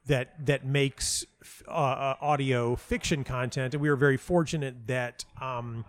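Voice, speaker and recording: A middle-aged man with a medium-pitched voice tells anecdotes in conversation as a guest. He stammers and doubles back on words, then plays out other people's lines as he quotes them. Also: steady tone, unhurried. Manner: stammering, repeats words as he goes; animatedly acts out quoted dialogue. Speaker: interview guest, playwright and audio dramatist.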